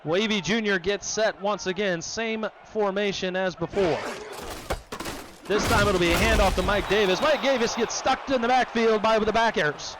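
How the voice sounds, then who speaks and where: A man calling play-by-play for a simulated football game, brisk and excitable, joking about his own voice. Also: high voice, background noise. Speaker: play-by-play commentator. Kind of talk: play-by-play sports commentary.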